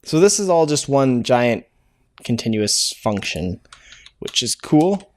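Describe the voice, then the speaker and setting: A man's voice: mid-range voice, sing-song, slow, darkish tone. A man hosting a programming tutorial, thinking aloud as he codes, with frequent "uh" fillers and self-corrections. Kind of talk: casual live-coding walkthrough. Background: keyboard typing and clicks.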